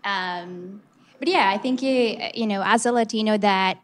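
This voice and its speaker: A woman's voice: medium pitch, measured pace. A woman from Colombia with a Colombian Spanish accent, a native Spanish speaker, talking candidly on a panel with many fillers. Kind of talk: panel discussion answer.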